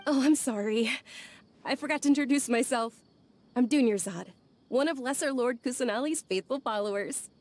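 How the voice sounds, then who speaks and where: A woman playing a gentle, polite young follower of a deity in a video game, speaking with soft, hesitant courtesy. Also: on the high side, normal speed, close to an everyday voice. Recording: faint music behind the voice. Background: background music.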